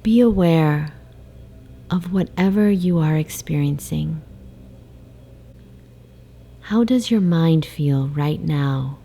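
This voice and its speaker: A woman guiding a sleep meditation in a soft, soothing, hypnotic way, with breathy phrase endings and long pauses. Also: sits low. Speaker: meditation guide. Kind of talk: guided sleep meditation, slow hypnotic narration. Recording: faint background noise, ocean waves. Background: ocean wave sounds.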